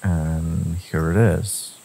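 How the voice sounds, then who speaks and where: Man playing a low, gravelly brooding villain lover. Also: flat delivery, mellow, faint music behind, exaggerated voice. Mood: quiet, reflective. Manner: slow, deliberate, with pauses and sighs. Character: brooding, devoted male fantasy villain, secret lover. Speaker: audio roleplay voice actor. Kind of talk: dramatic second-person romance audio roleplay.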